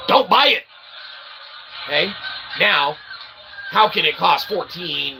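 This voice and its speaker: A man's voice: fairly high pitch, gravelly, background noise. A man hosting his own stream, delivering an emphatic, rambling monologue and tagging nearly every sentence with "okay?". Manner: emphatic, repeats "okay?" constantly; rants with bursts of shouting and laughter. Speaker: channel host.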